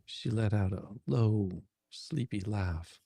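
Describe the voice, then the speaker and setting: A man narrating a steamy romance in drawn-out, amused phrases on a close microphone. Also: on the low side, creaky, dark tone, no echo. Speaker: narrator and reader. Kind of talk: dramatic reading of a steamy romance.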